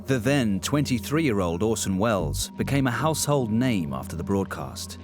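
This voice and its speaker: A man's voice: lowish voice, sing-song, normal speed, music in the background. A man reading scripted documentary narration.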